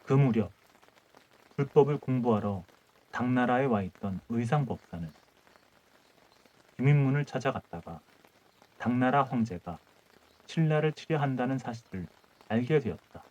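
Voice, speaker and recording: A man narrating a folk tale as a calm audiobook reader, reading in measured short phrases. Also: mid-range voice, dull audio. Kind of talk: calm bedtime storytelling.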